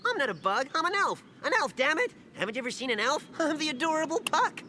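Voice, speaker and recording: A woman playing a tiny, cheeky, childish male elf companion in a video game dub, chatty and excitable. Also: high voice, fast talker, a little hiss or hum, heavily acted. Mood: cheeky, indignant.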